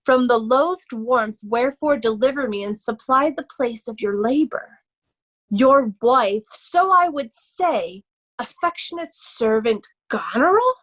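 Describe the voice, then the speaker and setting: A woman playing a loyal young nobleman disguised as a humble poor man, earnest and measured, in readers' theatre Shakespeare. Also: on the high side, expressive, fairly bright tone. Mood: reading aloud.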